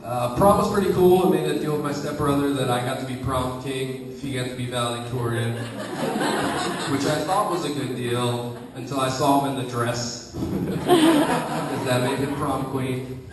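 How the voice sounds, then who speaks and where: A man's voice: mid-range voice, animated, moderate pace, noisy background. A young man performing a self-deprecating stand-up set with hesitant "I, uh" restarts, recorded by a camera far from the stage. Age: young adult. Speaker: stand-up comedian. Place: comedy show stage with live audience. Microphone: camera recording from a distance. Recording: echoey room from far off, audience laughter.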